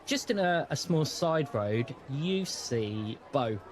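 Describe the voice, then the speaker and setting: A man's voice: medium pitch, animated, slow pace, a little hiss or hum. A man with a British accent narrating a tabletop role-playing game as game master.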